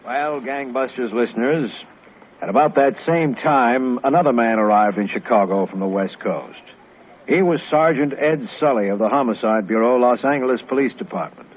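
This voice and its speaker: Casting: man as composed retired lieutenant, mid-pitched, formal case narration, phone-like vintage radio sound. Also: a little hiss or hum, natural voice. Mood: composed, authoritative. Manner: formal, measured, matter-of-fact. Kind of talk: scripted 1950s radio narration. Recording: thin, narrowband 1950s radio recording.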